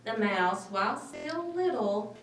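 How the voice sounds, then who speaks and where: A woman with a fairly high voice reads a children's story aloud. Her phrasing is expressive and dramatic. The room echoes and she sits a little back from the mic, with faint noise. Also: slow, recorded from a distance. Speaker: storybook reader.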